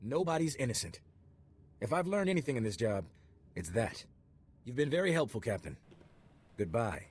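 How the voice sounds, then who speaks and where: Game casting: pushy government investigator, husky, cynical, with lively pitch swings. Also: male voice, normal speed, pauses often. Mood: stern, resigned. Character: cynical, officious male customs agent, pressing interrogator. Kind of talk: video game voice acting.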